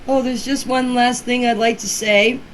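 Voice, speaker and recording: A woman's voice: fairly high pitch, steady tone, moderate pace, slight background noise. A woman in her fifties giving informal personal voice messages in a plainspoken, earnest, emphatic way, repeating herself for stress. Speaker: community member speaking about a case. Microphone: phone voice message.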